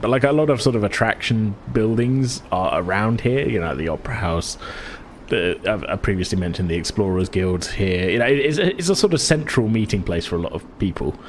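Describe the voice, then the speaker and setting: A man's voice: low-pitched, slight background noise. A man running a tabletop game as game master, narrating casually in a rambling, self-correcting way.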